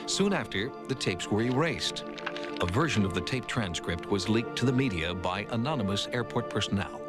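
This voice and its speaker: A man's voice: lowish voice, lively intonation, normal speed, over loud music. A man narrating a documentary from a script in a dramatic style.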